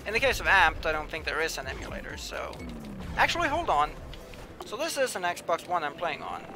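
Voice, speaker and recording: Young male gamer, slightly high, a bit whiny, chatty and mumbly, music running underneath. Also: huge pitch swings, a little fast. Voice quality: says he has a whiny voice. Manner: chatty, mumbles asides to himself while playing. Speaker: game streamer. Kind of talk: casual live-stream commentary, reading chat. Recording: clear music playing behind. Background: background music.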